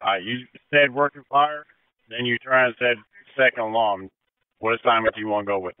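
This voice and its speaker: A man's voice: medium pitch, brisk, smooth. A man working as a fire dispatcher, speaking in terse procedural messages over two-way radio. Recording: dry, phone-like narrow radio channel.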